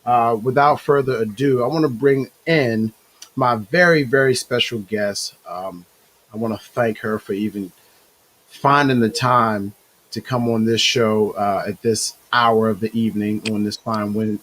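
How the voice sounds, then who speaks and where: A hoarse man hosting a talk show, talking in a halting way with many fillers and self-corrections. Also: fairly low pitch, fairly bright tone, low-quality recording.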